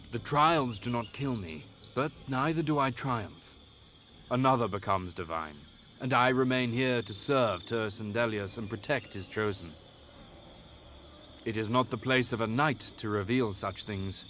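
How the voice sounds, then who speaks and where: A man playing a grave, weary elf knight in a fantasy game, speaking in a measured, formal way. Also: mid-range voice, fairly bright tone, a little hiss or hum. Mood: solemn, resigned. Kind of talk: fantasy game character acting.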